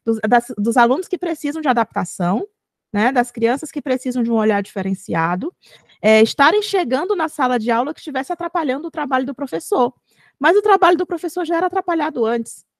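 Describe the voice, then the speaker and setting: A woman's voice: sits high, animated, fairly quick, no room sound, studio quality. A Brazilian woman co-hosting a podcast, arguing her point in an earnest, emphatic way. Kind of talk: casual podcast discussion.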